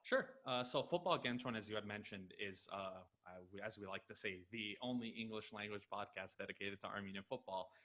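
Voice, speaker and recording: Eager American man, lowish voice. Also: bright, forceful tone. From Los Angeles. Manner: enthusiastic, quick, with many fillers. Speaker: podcast guest. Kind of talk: casual interview answers. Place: home room, video call. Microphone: headset.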